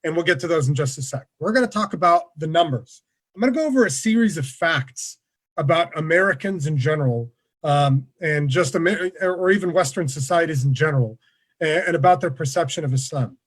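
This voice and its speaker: A man's voice: fairly high pitch, brisk. Casual man giving an online talk. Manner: relaxed, conversational, with many fillers. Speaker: presenter of an online workshop. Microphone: video call.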